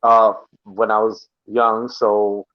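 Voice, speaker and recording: Man from Puerto Rico, relaxed, rambling. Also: lowish voice, takes it slowly, dull audio. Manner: relaxed, rambling, many fillers and restarts. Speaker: podcast guest. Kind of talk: casual podcast chat.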